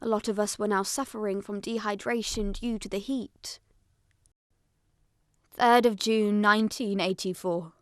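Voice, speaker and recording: A woman's voice: medium pitch, steady tone, a little slow, dry recording, studio quality, lightly acted. A woman playing a young girl survivor, giving dramatised first-person narration of an attack. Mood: sombre recounting.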